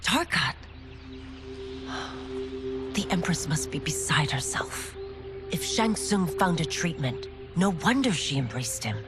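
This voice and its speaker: Steadfast, formal warrior constable, music behind. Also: female voice, sits low, very animated, slow pace, pauses often, heavily acted. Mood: concerned. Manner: earnest, formal, resolute. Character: dutiful, steadfast warrior constable woman, haunted by past failure. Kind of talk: dramatic video game cutscene acting.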